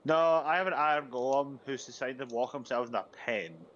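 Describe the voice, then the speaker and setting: A British man talking easily through a co-op game session and gently ribbing his friends. His pitch is middling. The sound is a little dull and lo-fi. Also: expressive, brisk. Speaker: guest player. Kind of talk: casual gaming chat.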